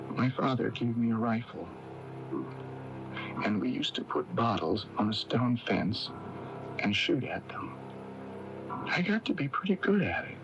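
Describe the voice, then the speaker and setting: An actor playing a troubled, withdrawn young man in a radio mystery drama, haunted and evasive, speaking haltingly and defensively. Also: mid-range voice. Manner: halting, defensive, repeating himself. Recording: muffled 1980s AM radio tape, music behind.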